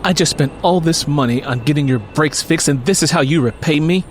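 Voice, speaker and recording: A man's voice: a little high, a little fast, a little hiss or hum, voice pushed up, natural voice. A man voicing a frustrated, angry woman who scolds and curses her broken-down car in an audio drama. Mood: exasperated scolding. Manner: scolding, exasperated.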